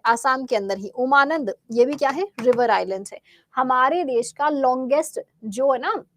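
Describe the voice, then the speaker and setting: A woman teaching a live exam-prep quiz class with a Bihari Hindi accent, chatty and asking questions she then answers, her throat sore and cleared often. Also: a little high.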